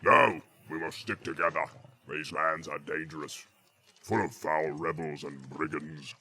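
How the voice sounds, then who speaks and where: A man voicing a brutal, boastful orc captain with a deep growl who barks orders. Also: fairly even intonation, a little slow, full character voice. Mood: boasting. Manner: barking orders, shouting.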